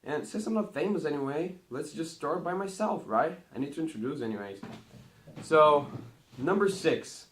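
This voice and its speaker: A teenage boy from Brazil, a Portuguese native speaker, talking about himself in a chatty, rambling vlog with bursts of excitement at each numbered item. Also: on the high side, moderate pace, a little hiss or hum. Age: teens. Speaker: vlogger. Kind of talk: casual talk to camera.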